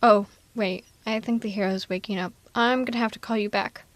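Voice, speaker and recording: A woman's voice: medium pitch, fairly even intonation, a little fast, dry recording, close to an everyday voice. A woman playing a blunt but protective and caring female supervillain in a casual, wry, conversational ASMR audio roleplay. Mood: exasperated, wry. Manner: casual, wry, reassuring, exasperated.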